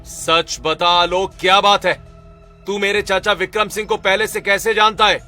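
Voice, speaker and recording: A man's voice: sits high, steady tone, normal speed, sharp tone, faint music behind. A man playing an earnest young rich son-in-law in a dramatic, emphatic cartoon story style. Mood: stern, shocked. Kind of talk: Hindi cartoon story acting and narration.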